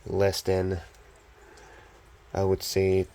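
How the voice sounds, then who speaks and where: A young man with a scratchy, gravelly voice from a recent illness, giving a casual, unhurried, off-the-cuff show-and-tell as a hobbyist. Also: sits low, completely flat delivery, mellow. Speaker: hobbyist collector showing restored radio.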